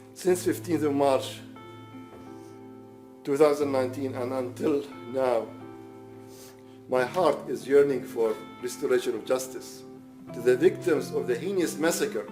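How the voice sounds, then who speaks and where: Male, higher-pitched but mellow, solemn and measured, reading a formal victim statement over guitar music. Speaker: bereaved father reading a victim impact statement. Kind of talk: prepared statement read aloud.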